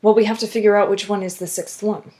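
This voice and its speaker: A woman's voice: mid-range voice, steady tone, fast, mellow. A woman playing a tabletop roleplaying game and making wry, dry jokes. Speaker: podcast co-host and player. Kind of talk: casual roleplay chat.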